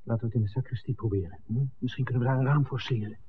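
A man's voice: medium pitch, steady tone, racing, lightly acted. An actor playing a hesitant, thoughtful man torn between duty and affection in a 1960s Dutch radio drama. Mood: puzzled, cautious. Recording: muffled, noisy old radio drama recording.

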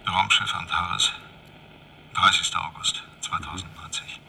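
An actor playing a weary, desperate spaceship expedition leader, speaking gravely and haltingly in a recorded log message for a radio play. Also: male voice, deep voice, fairly even intonation, pushed and bright, heavily acted. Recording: noisy recorded radio transmission.

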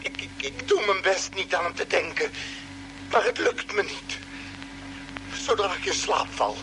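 A man's voice: high-pitched, poor audio. An actor playing a guilt-ridden spaceship crewman in an old radio play, stammering, pleading and overwrought, over a radio message. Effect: heard as a radio or tape message. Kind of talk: mid-century radio play acting.

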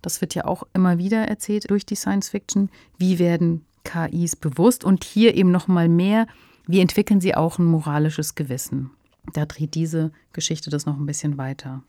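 Low, mellow woman co-hosting a podcast. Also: steady tone, normal speed, dry recording, studio quality. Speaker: podcast co-host. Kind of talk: conversational radio drama discussion.

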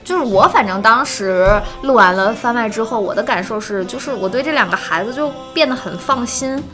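A woman with a fairly high voice hosts a casual live stream. She talks in a chatty, expressive way and repeats words for emphasis, with music clearly playing behind her. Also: brisk. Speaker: live-stream host for an audio drama.

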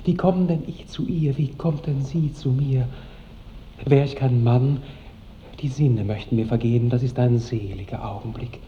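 A man's voice: mid-range voice, normal speed, close to an everyday voice. A man playing a bashful, chivalrous seventeen-year-old count in a radio play, courtly and ardent. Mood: awed love. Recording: muffled, echoey 1960s radio with noise.

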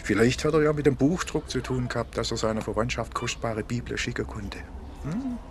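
Actor as a lively inspector. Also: male voice, medium pitch, brisk, background noise, natural voice. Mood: eager, curious. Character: folksy small-town police inspector, eager affectionate companion. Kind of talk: radio drama dialogue.

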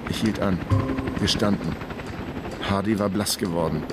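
A man's voice: deep voice, moderate pace, very noisy, close to an everyday voice. An actor playing a wry, laconic private investigator who narrates his own case in a radio crime drama. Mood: tense, laconic. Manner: laconic, dry.

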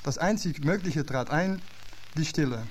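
Non-native German man, measured scripted reading, somewhat high pitch, faint hiss. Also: animated, poor audio. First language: not German. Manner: measured, literary delivery with slightly non-native phrasing. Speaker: radio essayist. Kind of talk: scripted reading of an archive radio piece.

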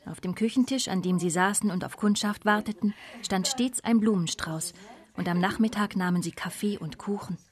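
A woman's voice: medium pitch, normal speed, close to an everyday voice. An actress playing a disillusioned woman of twenty-nine, narrating her life soberly in a radio drama. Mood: wry, detached. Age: young adult. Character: disillusioned 29-year-old daughter of a prostitute, coolly confessional.

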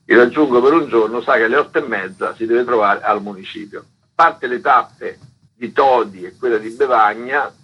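A man's voice: medium pitch, moderate pace, husky, highs cut off. A man explaining things informally as an interviewed organiser, in a rambling, colloquial way. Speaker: event organiser being interviewed.

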